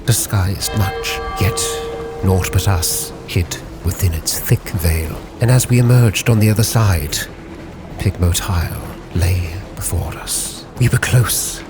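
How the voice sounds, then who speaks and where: Over clear background music, a man with a low voice narrates as a sea captain. His delivery is measured and theatrical, like a literary log being read aloud as dramatic storytelling. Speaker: roleplay storyteller, channel host.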